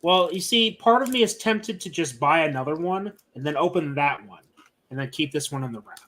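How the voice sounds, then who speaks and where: A man's voice: fairly high pitch, expressive, fairly quick, a bit bright. A man co-hosting a podcast, chatting casually and teasing his friends. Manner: bantering, teasing. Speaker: podcast co-host. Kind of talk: casual chat.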